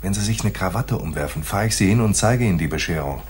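A man's voice: deep voice, expressive, fast talker, slight background noise. An actor playing a laconic, shrewd private detective in a radio play, dry and slangy, telling his case like noir. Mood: cool, terse. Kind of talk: 1980s German radio play, noir dialogue and narration.

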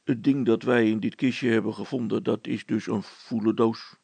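Guy putting on a tired grumbly bloke in a kids audio story, deep gravelly voice, dry, no nonsense. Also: steady tone, moderate pace, a little mellow, no echo. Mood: matter-of-fact. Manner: dry, sober, sighing, plain-spoken. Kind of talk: children's audio story character voice.

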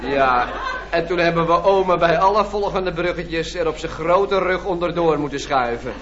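An actor playing a cheeky, wisecracking youngster in a radio comedy, sardonic and theatrically exaggerating. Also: male voice, fairly high pitch, moderate pace, lightly acted. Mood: amused griping. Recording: dull, noisy old radio recording.

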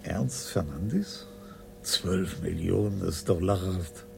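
Gravelly low-voiced police commissioner. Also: male voice, takes it slowly, slight background noise, exaggerated voice. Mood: pensive. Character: shrewd senior Dutch police commissioner, weighing things soberly. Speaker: lead actor in radio crime drama. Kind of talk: 1980s German radio play acting.

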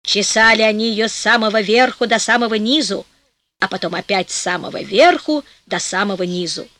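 A woman narrating a fairy tale in a lively storytelling manner for an audiobook, slowing down for rhymed passages. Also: mid-range voice, dry recording.

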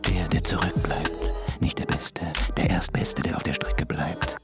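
An actor in a German radio drama playing a gentle, clever half-ape scientist with a hesitant, brooding manner. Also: male voice, a bass voice, steady tone, a little slow, gravelly, over loud music, exaggerated voice.

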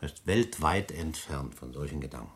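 A man playing a grave, formal counsellor in a radio play, speaking in a measured way. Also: rumbling low, expressive, a bit bright, slight background noise. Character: grave, formal counsellor warning of legal danger. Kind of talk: German radio play acting.

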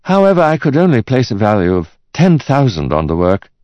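A man voicing a courteous male art expert in an audiobook, speaking in a formal, deliberate, politely condescending way. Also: mid-range voice, very animated, darkish tone, no echo, highs cut off, pitched above the performer's own voice, lightly acted. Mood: polite condescension. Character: measured, courteous male art expert delivering bad news.

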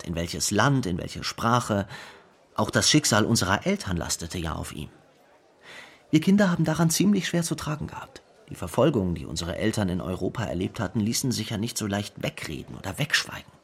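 An actor playing a grave brother recalling family burdens in a scripted radio drama, with a gravelly, husky voice. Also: male voice, lowish voice.

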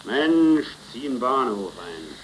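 Casting: cheeky, grumbling jobless workman, middle pitch, faintly noisy old radio play. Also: very animated, takes it slowly. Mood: needling, blunt. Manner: colloquial, grumbling, blunt. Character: grumbling, cheeky unemployed working-class roommate. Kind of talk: 1930s radio acting. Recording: noisy vintage 1930s radio recording.

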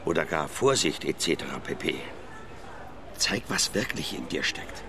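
Smooth schemer, deep voice, condescending, dry, measured, radio drama. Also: male voice, lively intonation, crowd noise, heavily acted. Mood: urging, insinuating. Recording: music or ambient noise behind.

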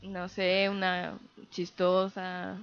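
A woman's voice: on the low side, monotone, drawn-out words, smooth, highs cut off. A woman hosting a casual radio interview, chatty and friendly in her questions. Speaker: radio host.

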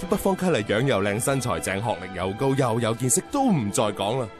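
An actor playing a smug, blunt suitor who lectures pompously in Hong Kong Cantonese in a radio drama. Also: male voice, fairly low pitch, sing-song, brisk, over music. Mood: smug, preachy. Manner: pompous, preachy.

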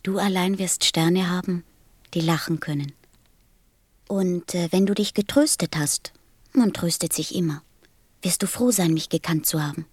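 Radio play role: gentle, wistful small boy, hushed breathy delivery, very dry sound. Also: on the low side, moderate pace, no echo. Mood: tender, consoling. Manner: tender, solemn, simply spoken. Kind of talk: German radio play acting.

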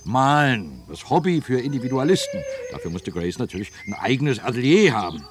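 An actor playing a sardonic, whisky-fond grumbler of a neighbor in a German radio play, mocking and ironic. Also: male voice, mid-range voice, very animated, fairly quick, lightly acted. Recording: noisy archival radio play recording.

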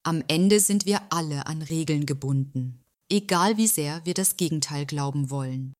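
A deferential executive secretary with a low voice, played by a woman in a satirical radio play. She speaks courteously and soothingly, with flattery and a quiet, knowing pride. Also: moderate pace, natural voice. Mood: wry. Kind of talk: German radio play acting.

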